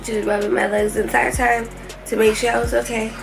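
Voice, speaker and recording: A woman's voice: fairly high pitch, level tone, quick pace, gravelly, music in the background, rough recording. A young woman vlogging casually and candidly from a hospital room, laughing often, tired and stuffy-nosed.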